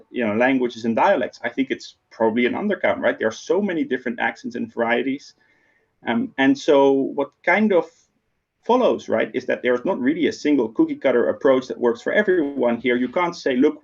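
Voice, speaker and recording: Dutch guy giving a keynote on a video call, bit of gravel in his voice, keeps saying right?. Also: mid-range voice, highs cut off. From Netherlands. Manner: conversational, often adds "right?". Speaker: keynote speaker. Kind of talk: online lecture.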